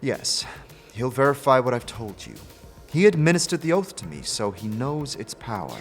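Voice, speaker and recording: A man's voice: medium pitch, sing-song, moderate pace. A man voicing an aloof, secretive female magical engineer, dry and dismissive, in a fantasy audio drama. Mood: aloof, guarded. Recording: long reverb, music behind.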